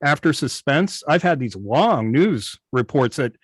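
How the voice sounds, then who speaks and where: A man chatting casually about his hobby, halting but keen on the details, on a video call. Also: medium pitch, big pitch swings, dry recording, clean studio sound. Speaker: old-time radio station operator, guest. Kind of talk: casual hobby club chat.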